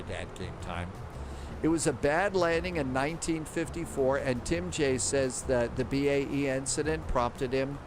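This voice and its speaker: A man of ordinary pitch hosts a live flight-sim stream, chatting loosely with viewers. He muses aloud and trails off before picking up again. Background music plays clearly under his voice. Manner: rambling, thinks aloud with restarts. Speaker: livestream host. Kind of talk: casual live-stream chat reading viewer comments.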